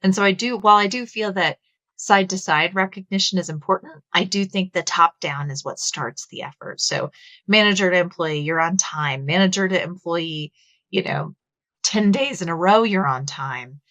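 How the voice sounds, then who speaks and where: A woman's voice: on the low side, normal speed, a bit bright, no echo. A woman in her thirties with a Texas accent, a podcast guest explaining her views thoughtfully with some filler words. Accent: Texan. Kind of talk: conversational interview.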